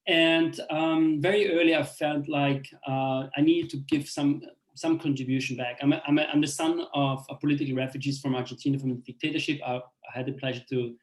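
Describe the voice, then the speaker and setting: A man in his forties who grew up in Austria, telling his own story on a panel in a halting way, with many restarts and fillers. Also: on the high side, steady tone. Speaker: speaker on a panel. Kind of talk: personal story in a talk. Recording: roomy echo.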